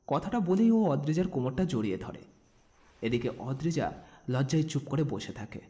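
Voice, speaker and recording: A man's voice: medium pitch, big pitch swings, normal speed, warm tone. A man reading scripted narration as the storyteller of an audio story. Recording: music bed under the voice.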